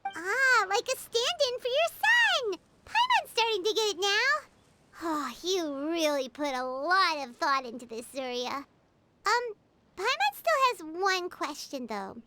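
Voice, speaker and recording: A woman voicing a small, excitable, curious childlike fairy companion in a video game, with a squeaky, expressive delivery. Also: unhurried, full character voice. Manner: expressive, speaks of self in third person.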